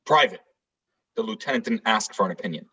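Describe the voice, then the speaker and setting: Man acting husky stoic Marine corporal, lowish voice, clipped formal deference, dull distant mic. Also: lively intonation, quick pace, pushed and bright, heavily acted. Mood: rigid, defensive. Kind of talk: stage play read-through. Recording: distant, slightly dull microphone.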